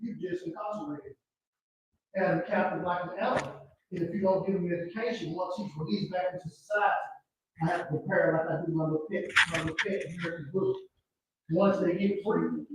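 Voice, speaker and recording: A man's voice: high-pitched, fairly quick. A man speaking publicly as a panelist at a live event. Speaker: panelist and nonprofit director. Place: echoey room at a live event, far from mic. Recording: very echoey, distant, noisy room recording.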